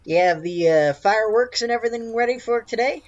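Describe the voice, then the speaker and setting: A man voicing a muscular, roguish halfling ringleader who speaks in a halting, good-natured way. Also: very high-pitched, no room sound, dull audio, pitched above the performer's own voice. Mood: businesslike.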